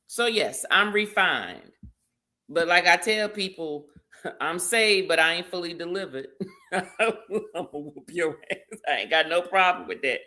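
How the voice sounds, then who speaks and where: A woman's voice: lowish voice, pushed and bright. A woman co-hosting a live stream, trading blunt, teasing remarks and laughing through her jokes. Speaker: co-host. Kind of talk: casual live-stream banter.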